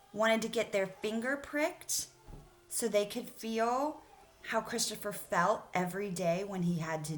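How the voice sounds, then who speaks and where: A woman's voice: on the low side, pushed and bright. A woman speaking as an elementary school teacher, reading aloud and explaining a lesson to young children, addressing them directly with questions.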